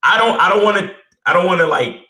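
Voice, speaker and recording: A middle-aged man from Brooklyn with a bright, forceful voice, heard in a roomy space. He talks in a relaxed, humble way, with plenty of fillers and restarts. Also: high-pitched, fast. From born in Jamaica, raised in Brooklyn, New York. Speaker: podcast guest, dating coach. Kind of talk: casual interview chat.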